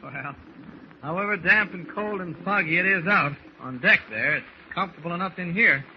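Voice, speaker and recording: A man plays a calm, authoritative hero in an old radio drama. He is earnest and well-spoken, pitched a little high for a man. The sound is dull, with clear noise. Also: moderate pace, lightly acted. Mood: concerned. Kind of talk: 1940s radio acting. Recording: muffled, noisy old radio recording.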